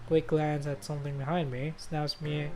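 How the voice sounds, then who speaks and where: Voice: mid-range voice, little pitch movement, normal speed, dark tone. A streamer giving casual, sweary game commentary and reading the story text aloud in a playful way. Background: faint game music.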